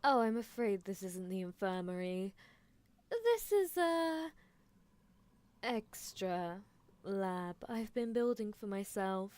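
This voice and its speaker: Woman as a slow, swooping, teasing mad scientist. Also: medium pitch, very animated, darkish tone. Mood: mock-exasperated, doting. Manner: theatrical, musing, drawn-out teasing delivery. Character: eccentric female mad scientist, gleefully menacing, doting on test subject. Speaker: streamer performing a character roleplay. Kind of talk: live ASMR character roleplay, improvised replies to listeners.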